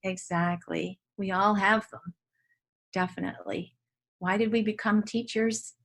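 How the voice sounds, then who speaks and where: A woman's voice: medium pitch, steady tone, a little slow, vocal fry. An older woman, a Dutch native speaker from Canada, talks about her teaching work in an interview in an earnest, reflective way, often restarting her sentences. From Ontario, Canada. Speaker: guest educator.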